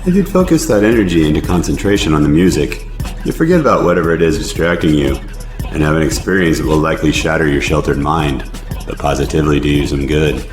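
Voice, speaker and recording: Give me a man as a very deep, suave puppet rapper. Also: quick pace. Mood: suave, deadpan. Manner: deadpan, eloquent, formal. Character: suave deadpan puppet rapper, wordy and flirtatious. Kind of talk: theatrical puppet show dialogue. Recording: roomy echo, music behind. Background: music.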